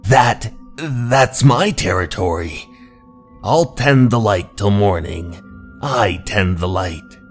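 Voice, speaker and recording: A man voicing a gruff, domineering old lighthouse keeper who talks like an old-fashioned sailor in a horror manga story. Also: fairly low pitch, expressive, slow pace, faint music behind. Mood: commanding. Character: gruff, bossy old male lighthouse keeper, superstitious seaman.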